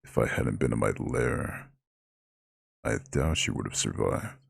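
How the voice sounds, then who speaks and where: Abyssally deep, gravelly eldritch god. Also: male voice, animated, no echo, clean studio sound, exaggerated voice. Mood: apologetic, gentle. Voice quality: very deep gravelly husky growl. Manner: slow, soothing, formal speech with proud touches. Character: ancient male eldritch god, horned, imposing, gently caring. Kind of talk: ASMR roleplay voice acting.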